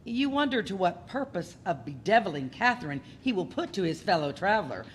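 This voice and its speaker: A woman's voice: on the low side, very animated, a little fast. A woman narrating an audio play in a scripted, dramatic storytelling style. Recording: noticeable background noise.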